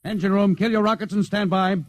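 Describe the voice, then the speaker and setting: An actor playing a stern middle-aged spaceship captain in a radio drama, giving clipped, authoritative orders. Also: male voice, sits high, steady tone, brisk, no room sound, lightly acted. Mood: angry, urgent. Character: stern, commanding spaceship captain, quick-tempered under pressure. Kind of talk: 1950s radio drama acting.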